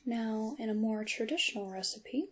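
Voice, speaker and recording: A woman voicing a polite, secretive witch shopkeeper in a hushed, unhurried ASMR roleplay, moving between whispers and soft formal speech. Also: on the high side, a little hiss or hum, lightly acted. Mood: attentive, instructive.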